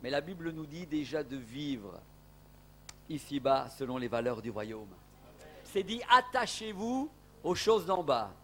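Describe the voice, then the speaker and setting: A man's voice: on the high side, animated, measured pace, pushed and bright. A man from Portugal preaching a sermon as an evangelist in a church, emphatic, with rising exclamations.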